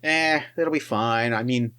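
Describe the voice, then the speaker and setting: A man's voice: medium pitch, unhurried, bright, forceful tone, clear, resonant tone, no room sound, clean studio sound. A man voicing a cocky, showy male mercenary squad leader who treats the mission as easy work. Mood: swaggering. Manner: breezy, showy, theatrical.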